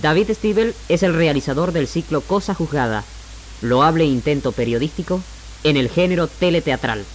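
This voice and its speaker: A man's voice: a little high, lively intonation, moderate pace, poor audio. A man reading scripted narration as a presenter on 1960s radio. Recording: archival radio recording with music behind. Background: music.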